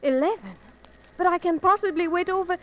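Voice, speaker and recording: A woman's voice: very high-pitched, a little fast. An actress playing an insistent, anxious woman who pleads urgently, in 1940s radio drama style. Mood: pleading. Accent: foreign. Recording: dull 1940s radio recording.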